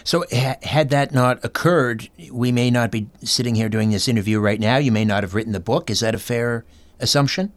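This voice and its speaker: A man's voice: mid-range voice, fairly quick, no room sound. A man hosting an interview in a conversational style, putting questions to his guests. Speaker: interview host.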